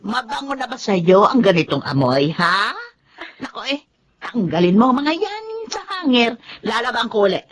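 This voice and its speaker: Fussy, animated old Filipino mother-in-law. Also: a little high, normal speed. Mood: scolding, exasperated. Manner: scolding, exclamatory, fussy. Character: elderly nagging mother-in-law and doting grandmother. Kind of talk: Filipino radio drama acting.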